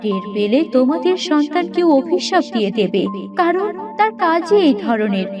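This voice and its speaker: Woman playing a kindly high-voiced fairy. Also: sing-song, moderate pace. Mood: cautioning. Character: kindly, grateful female fairy freed from a curse. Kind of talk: Bangla cartoon fairy-tale voice acting. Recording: dull lo-fi audio under loud music.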